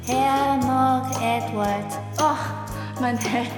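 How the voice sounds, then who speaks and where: A woman acts a girlish, adoring sweetheart, drawing her words out very slowly in a high voice. She coos dotingly as in a radio play, in an echoing hall with music behind. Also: fairly even intonation. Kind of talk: theatrical radio play dialogue.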